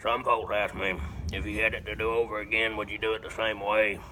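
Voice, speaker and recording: Casting: simple rural Southern man for film-style monologue; lowish, hard-edged voice, deadpan, halting, grunting hums. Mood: grim, resigned. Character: slow, simple-minded rural Southern man confessing killings flatly. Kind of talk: dramatic film monologue rendition.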